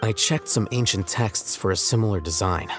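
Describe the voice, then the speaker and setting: A man voicing a cool, precise male scholar in a video game, with a dry, deadpan manner. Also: on the low side, moderate pace, lightly acted. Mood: detached reporting. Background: background music.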